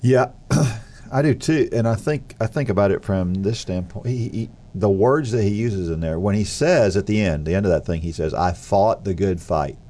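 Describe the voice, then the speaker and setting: A man co-hosting a faith podcast, sounding congested and nasal, speaking in a thoughtful, relaxed way. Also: lowish voice, normal speed. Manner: thoughtful, relaxed, frequent affirmations. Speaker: podcast co-host.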